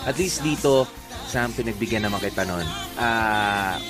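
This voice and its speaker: A man's voice: on the low side, unhurried, over music. A man with a Filipino accent co-hosting a radio show, giving casual relationship advice in a conversational way, acting out examples and switching between languages. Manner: conversational, illustrates points with acted-out examples. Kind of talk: casual relationship advice chat with Tagalog-English code-switching.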